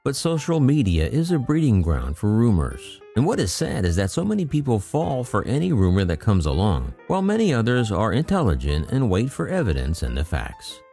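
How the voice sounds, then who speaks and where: A man's voice: on the low side, wildly swooping pitch, brisk, few pauses, dark tone, soft background music. A man hosting an aviation channel, reading news reports aloud in a scripted commentary and adding wry, skeptical asides. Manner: reads news quotes, then adds wry skeptical asides.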